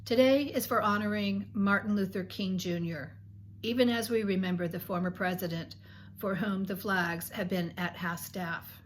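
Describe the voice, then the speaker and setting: A woman in her sixties hosts her own channel, her voice scratchy and a little worn. She reads her reflections slowly and earnestly in a soft tone, pausing now and then to clear her throat. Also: mid-range voice, fairly even intonation. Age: 60s. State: scratchy voice from illness. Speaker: channel host. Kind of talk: reflective reading to camera.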